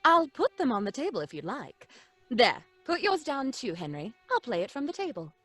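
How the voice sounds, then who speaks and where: A woman's voice: fairly high pitch, very animated, moderate pace, soft background music. A woman playing an exasperated, bossy young English daughter in an old-fashioned radio drama, with an English accent. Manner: bossy, sarcastic, impatient. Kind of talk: 1930s-style radio drama acting.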